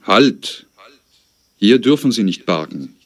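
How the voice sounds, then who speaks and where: A man's voice: fairly low pitch, big pitch swings, slow pace, a bit bright, full character voice. A man playing a strict parking warden, speaking firmly in a scripted exam dialogue. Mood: stern. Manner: stern, firm.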